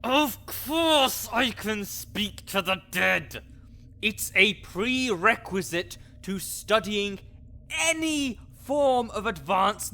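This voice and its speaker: A man's voice: high-pitched, pushed and bright, exaggerated voice. A man playing a grouchy, scholarly necromancer in an audio roleplay, condescending, pedantic and sarcastic. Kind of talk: audio roleplay acting addressed to the listener.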